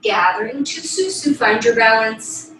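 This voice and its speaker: A woman's voice: on the high side, expressive, unhurried. A woman teaching a ballet class, calling out instructions and counts as she demonstrates the moves. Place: small bedroom, indoors. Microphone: far camera across the room. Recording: very echoey room, distant mic, music behind. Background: classical piano music.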